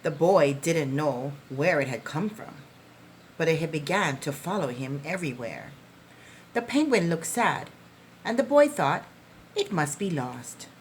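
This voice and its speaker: A woman reading a picture book aloud to young children as a preschool teacher, expressively, with deliberate, dramatic phrasing. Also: low voice, sing-song, a little hiss or hum, poor audio.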